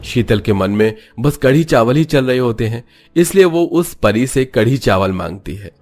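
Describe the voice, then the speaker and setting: A man's voice: medium pitch, expressive, moderate pace, a little mellow. A man giving scripted narration for a cartoon moral story. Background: background music.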